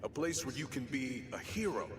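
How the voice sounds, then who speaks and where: A man's voice: mid-range voice, animated, moderate pace, slight background noise, lightly acted. A man playing a patient, earnest game master who guides a newcomer, in conversational video game acting. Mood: encouraging. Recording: echoey, loud ambience behind.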